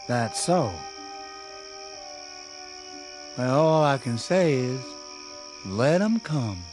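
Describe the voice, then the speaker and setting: A defiant young country fellow with a rural Southern drawl. He speaks slowly and plainly, his pitch swinging widely, stubborn and folksy, over prominent music in a radio play. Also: lively intonation. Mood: stubborn, unbothered. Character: defiant young country man suspected of being undead. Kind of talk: radio drama acting.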